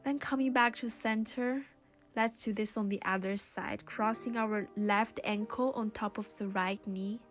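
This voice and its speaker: Slow, even-toned woman guiding yoga over music. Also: medium pitch. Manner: soothing, gentle, unhurried guiding with pauses. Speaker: yoga instructor. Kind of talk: guided yoga and meditation instruction.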